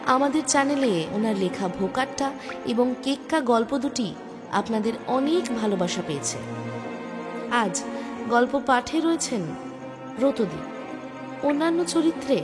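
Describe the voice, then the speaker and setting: A woman reading a scripted introduction as presenter of an audio story channel. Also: a little high.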